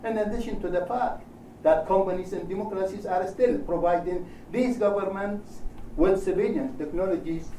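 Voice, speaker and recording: A man with an Iraqi Arabic accent gives a formal speech from notes as a human rights panel speaker, phrasing deliberately in short chunks of non-native English. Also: sits high, warm tone. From Iraq. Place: indoor event room at a panel discussion. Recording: roomy echo, distant mic, faint noise.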